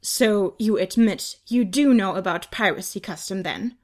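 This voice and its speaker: A woman's voice: medium pitch, measured pace, a little mellow, voice pushed down, natural voice. A woman voicing a bossy, suspicious teenage boy leader in a storybook, in a demanding manner. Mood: suspicious, accusing. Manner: demanding, accusing, scowling.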